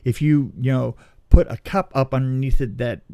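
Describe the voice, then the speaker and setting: A man telling a story casually on a podcast, in a halting, rambling way that often restarts mid-sentence. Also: medium pitch, fairly even intonation, mellow, no echo. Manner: halting, rambling, self-interrupting delivery. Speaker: film special effects technician telling a story.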